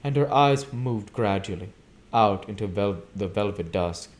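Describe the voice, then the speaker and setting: A man with a fairly low voice reads literary fiction aloud. His delivery is deliberate and emphatic, with a theatrical touch, like a homemade audiobook. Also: lively intonation. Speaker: amateur audiobook reader, channel host. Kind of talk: reading a classic novel aloud.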